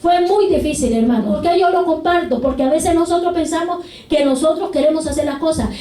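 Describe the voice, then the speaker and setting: A woman's voice: very high-pitched, warm tone, reverberant, recorded from a distance. A woman preaching a sermon with fervent repetition of praise phrases. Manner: fervent, repeats hallelujah and glory to God.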